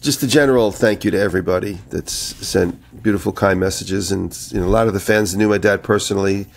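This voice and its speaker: Low, gravelly man, a bit nasal. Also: fast talker, slight background noise. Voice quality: nasal from a cold. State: has a head cold. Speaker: podcast co-host. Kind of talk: casual chat, rambling anecdotes.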